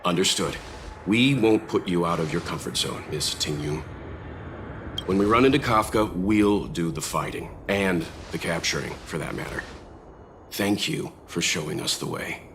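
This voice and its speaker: A man voicing a calm, courteous, stoic fighter in a video game, in a measured and polite way. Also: sits low, background noise. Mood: calm, reassuring.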